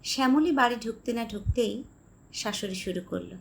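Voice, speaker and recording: A woman's voice: a little high, normal speed, creaky. A woman narrating a scripted dramatic story as a voice artist.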